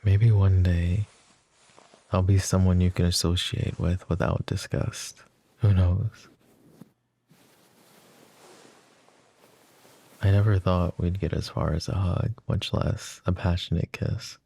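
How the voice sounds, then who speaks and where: A man's voice: low-pitched, level tone, unhurried, gravelly, warm tone, husky, exaggerated voice. A man playing a smitten, self-deprecating mafia boss in an intimate ASMR romance roleplay, murmuring hesitantly and teasingly. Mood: tender, remorseful.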